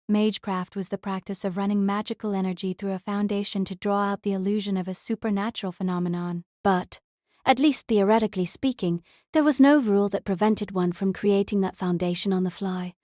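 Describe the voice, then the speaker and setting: A woman reading a novel as audiobook narrator, in a measured, literary storytelling manner. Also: mid-range voice, fairly even intonation, darkish tone, dry recording, clean studio sound.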